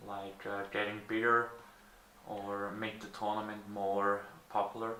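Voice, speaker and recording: A man's voice: lowish voice, completely flat delivery, measured pace, pushed and bright, echoey room, a little hiss or hum. A man interviewing a guest, asking polite questions with hesitant fillers.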